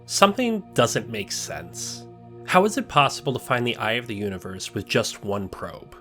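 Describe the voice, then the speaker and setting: A man narrating a scripted video essay, explaining a story step by step in a measured way. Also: medium pitch, very animated, fairly bright tone, faint music behind.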